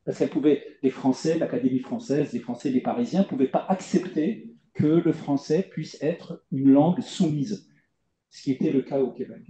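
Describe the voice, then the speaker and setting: A middle-aged man answering interview questions at length, halting with many fillers and repeated words. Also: medium pitch, warm tone. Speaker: playwright guest. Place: stage event before audience. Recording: dull lo-fi roomy recording.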